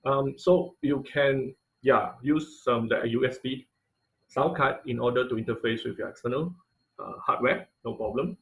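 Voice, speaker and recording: A man teaching an online class in an informal lecture style, with halting, hesitant phrasing and repeated words, speaking over a video call. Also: mid-range voice, gravelly, a bit bright, poor audio. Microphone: computer mic on a video call.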